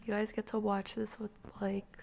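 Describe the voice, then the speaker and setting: A woman's voice: mid-range voice, monotone, normal speed, vocal fry, darkish tone, a little hiss or hum. A woman game streamer chatting casually through live gameplay with wry, rambling asides.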